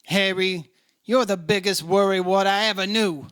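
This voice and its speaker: A man's voice: sits high, steady tone, moderate pace, fairly bright tone, smooth, no echo. A man playing a wry, breezy insurance investigator in 1950s-style radio detective drama, dry and wisecracking. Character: wry, breezy male insurance investigator, hard-boiled but kindly.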